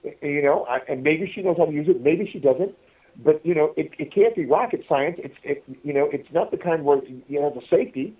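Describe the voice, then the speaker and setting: A man telling stories in a casual, rambling way, halting and repeating himself as he addresses his listeners over a telephone. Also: on the high side, recorded from a distance. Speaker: podcast guest calling from prison. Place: prison phone call. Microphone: telephone handset. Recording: tinny, band-limited prison phone line.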